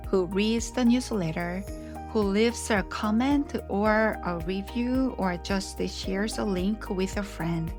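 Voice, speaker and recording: A middle-aged woman hosting her podcast, reading scripted narration in a reflective, unhurried way, her voice a little scratchy from illness. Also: medium pitch. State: slightly scratchy voice after strep throat. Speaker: podcast host.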